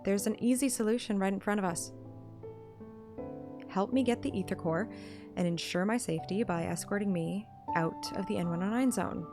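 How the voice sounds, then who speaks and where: A woman's voice: medium pitch, normal speed, natural voice. A woman voicing a determined, guarded young heroine who bluffs confidence in romantic fantasy audiobook dialogue. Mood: bargaining, bluffing. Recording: music behind the voice.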